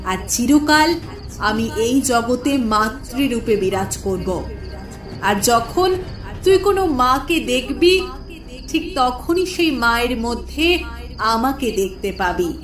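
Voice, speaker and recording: A high, unhurried female voice of a majestic mother goddess played by an actress, wrapped in echo. She is tender and solemn, in mythic audio drama style. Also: expressive. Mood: tender, serene. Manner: affectionate, solemn blessing. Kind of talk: mythic audio story acting. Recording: echoing voice under loud music.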